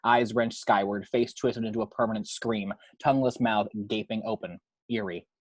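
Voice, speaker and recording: Husky man narrating, dry close sound. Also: on the low side, fairly even intonation, normal speed, no echo. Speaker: narrator of action descriptions. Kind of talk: scripted narration of a screenplay.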